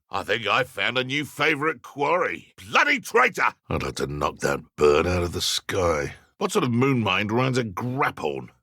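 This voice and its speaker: An actor playing a sneering, menacing British poacher and dark wizard in a video game, taunting with mock politeness and contempt. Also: male voice, mid-range voice, gravelly, dry recording, clean studio sound, heavily acted. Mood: threatening. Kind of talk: video game villain combat barks.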